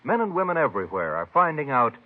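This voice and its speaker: Animated, husky vintage radio adman. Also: medium pitch, quick pace. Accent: American. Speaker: commercial announcer. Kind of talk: 1950s radio advertisement. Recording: tinny vintage radio broadcast.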